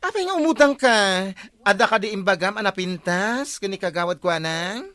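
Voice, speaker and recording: Wheedling village captain, ilocano filipino accent, voice jumps up and down, radio comedy. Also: male voice, sits high, lively intonation, moderate pace, pushed and bright, dry recording. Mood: excitable. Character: wheedling, flattering, excitable village captain short of money. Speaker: radio drama actor. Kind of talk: broad radio comedy acting.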